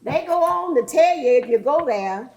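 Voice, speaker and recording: A woman playing an earnest, teasing church preacher of the civil-rights era in a staged sermon, halting and pointed in delivery. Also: high voice, animated, a little mellow. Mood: mocking, earnest. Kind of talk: staged readers theatre sermon.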